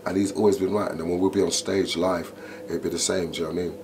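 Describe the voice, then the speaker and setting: A middle-aged man, an MC telling stories in an interview, animated and full of "you know". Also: sits low, quick pace. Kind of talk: interview, casual storytelling. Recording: noticeable background noise.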